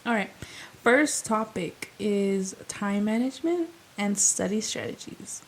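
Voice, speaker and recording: A woman's voice: medium pitch, lively intonation, slow pace, slight background noise. A young adult woman co-hosting a podcast in casual chat, talking with plenty of fillers and easy laughs. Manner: chatty, laughs easily, fillers like "like" and "um". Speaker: podcast co-host.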